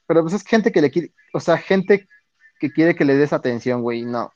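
A man's voice: on the high side, animated, normal speed, darkish tone, no room sound. A man with a Mexican accent streaming, chatting casually with viewers in slangy, profane speech. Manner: slangy, profane, reads and answers chat. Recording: dull lo-fi dry sound.

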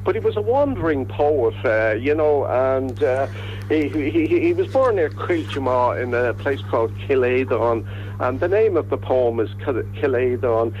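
A man's voice: medium pitch, lively intonation, moderate pace, a little hiss or hum. Hesitant Dublin man on a dull line. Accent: Dublin Irish. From Ireland. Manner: hesitant, many restarts. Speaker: guest. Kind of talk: casual radio chat.

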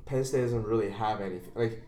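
A man's voice: fairly low pitch, fairly quick, warm tone. A young man from China, a college student, hosting a casual podcast and speaking in an informal, earnest, advising way. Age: young adult. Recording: roomy echo.

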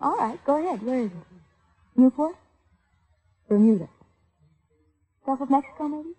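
A woman's voice: high-pitched, animated, normal speed, warm tone, rough recording. An actress playing a skeptical, fed-up girlfriend, pointed and questioning, in 1940s radio crime drama style. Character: skeptical, fed-up sweetheart of a small-time schemer, female.

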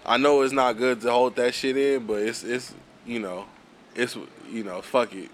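A man's voice: mid-range voice, steady tone, normal speed. A young man tells a story on a casual podcast, laid-back and full of profanity, chuckling along. His voice sits mid-range, with a faint hiss of noise behind it. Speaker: podcast co-host.

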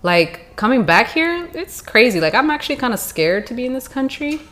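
A woman's voice: a little high, very animated, a little fast, fairly bright tone. A woman hosting a podcast, asking curious questions in a conversational way with many fillers. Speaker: podcast host. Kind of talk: casual chat and interviewing.

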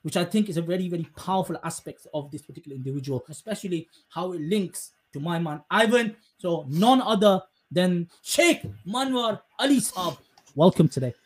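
A man's voice: sits high, lively intonation, brisk. A man hosting a podcast interview in an effusive, emphatic and wordy conversational way. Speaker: podcast host.

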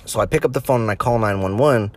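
A man's voice: on the low side, fast. Casual, crude-talking male podcaster. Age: late 20s to early 30s. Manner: crude, swearing, amused by own stories. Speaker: podcast host. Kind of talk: casual anecdotal storytelling to listeners.

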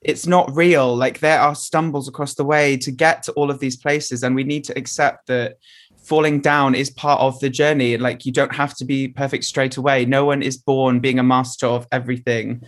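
Man, guest, earnest and encouraging, medium pitch, conversational. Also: flat delivery, moderate pace. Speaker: interview guest. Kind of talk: candid conversational interview.

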